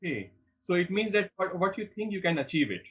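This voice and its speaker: Husky high-voiced male studio host. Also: sing-song, quick pace, lo-fi sound. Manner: repeats and restarts phrases, upbeat promo pitch. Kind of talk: studio interview.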